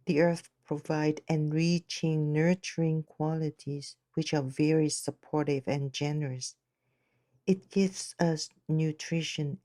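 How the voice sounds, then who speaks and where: A woman narrating a guided meditation in a gentle, measured, unhurried manner. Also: low voice, no room sound, studio quality.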